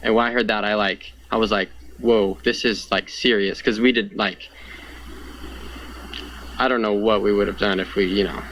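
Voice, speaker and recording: A man's voice: on the low side, steady tone, moderate pace, pauses often, a bit bright, dull audio. Young man earnestly recounting, noisy background. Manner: earnest, conversational, frequent 'like'. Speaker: fire survivor being interviewed. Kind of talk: casual personal account in an interview. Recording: clear background noise.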